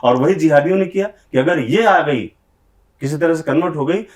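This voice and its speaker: A man's voice: on the high side, moderate pace. A middle-aged man answering interview questions, speaking earnestly and with grievance in long run-on accusations. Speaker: film director, interviewee.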